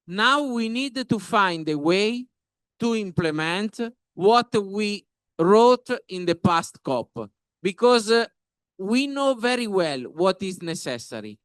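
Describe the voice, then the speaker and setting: A man with an Italian accent gives panel remarks in a smooth, rather high voice. He moves slowly, phrase by phrase, in halting but emphatic non-native English. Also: lively intonation, fairly bright tone, dry recording. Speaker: professor, panel speaker.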